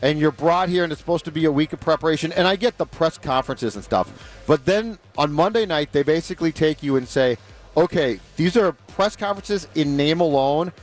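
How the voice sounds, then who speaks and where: A man's voice: a little high, a little fast. Bantering man on sports radio. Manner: dry, bantering, animated storytelling. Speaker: radio co-host. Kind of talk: casual sports radio chat.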